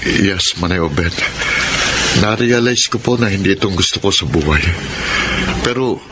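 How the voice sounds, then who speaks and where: A man acting a lovelorn, regretful man in a Filipino radio drama, speaking in an earnest, sentimental, measured way with a Filipino accent. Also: sits low, expressive, heavy background noise. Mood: respectful. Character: lovelorn, regretful man, former model turned shopkeeper. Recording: music under the voice. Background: loud sentimental music.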